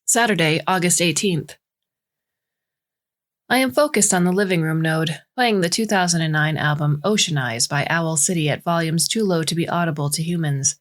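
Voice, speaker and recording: A woman voicing a gentle, observant female AI home assistant, narrating a story in measured, formal diction. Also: deep voice, natural voice. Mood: calm, detached. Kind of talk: scripted first-person story narration.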